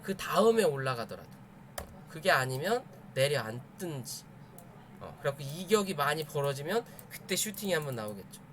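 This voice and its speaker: A man's voice: a little high, very animated, moderate pace, fairly bright tone, a little hiss or hum. A man explaining charts to viewers in a conversational, emphatic live commentary, often repeating his points. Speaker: stock chart analysis streamer.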